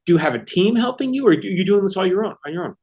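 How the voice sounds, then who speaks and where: A man's voice: sits high, animated, racing, a little mellow, breathy edge. A man hosting a podcast, asking eager, enthusiastic questions and often restarting his sentences. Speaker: podcast host, interviewer. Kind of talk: casual interview chat.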